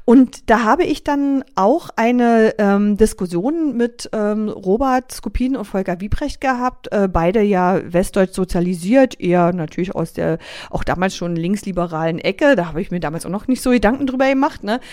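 A middle-aged woman from East Berlin with an East Berlin accent, telling stories as a radio guest, lively, with many fillers and restarts. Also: mid-range voice, moderate pace, no echo, studio quality. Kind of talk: casual radio interview.

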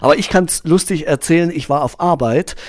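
A man hosting a radio interview, asking questions and telling a short story in a relaxed, conversational way. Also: on the high side, fairly quick. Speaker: radio host.

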